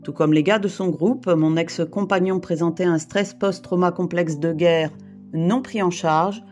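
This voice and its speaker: A woman in her fifties from the Paris region, reading a personal testimony in a measured, formal way. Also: lowish voice, steady tone, a little mellow. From France, Île-de-France. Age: 50s. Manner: measured, formal, list-like delivery. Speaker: brief therapist and volunteer rescuer. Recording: guitar music behind.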